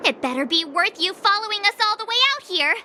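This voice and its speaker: A woman's voice: very high voice, animated, quick pace, bright, forceful tone, a little hiss or hum, exaggerated voice. An actress playing a perky, cheeky teenage girl adventurer in a video game, bubbly, teasing and easily annoyed. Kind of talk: English game voice acting.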